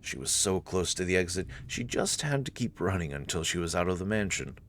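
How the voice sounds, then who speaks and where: A man's voice: low-pitched, animated, a little fast, a little hiss or hum. A man reading scripted visual novel narration as a dramatic storyteller. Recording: soft music behind the voice.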